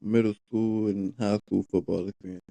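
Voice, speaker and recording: A man's voice: on the low side, level tone, moderate pace, creaky, mellow. A man hosting a podcast, greeting listeners casually and asking his guest short, laid-back questions. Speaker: podcast host.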